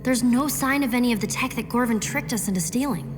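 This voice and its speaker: A woman's voice: a little high, brisk, natural voice. An actress playing a smart, level-headed teenage girl heroine in a video game, matter-of-fact when explaining. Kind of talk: video game cutscene voice acting. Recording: music behind the dialogue.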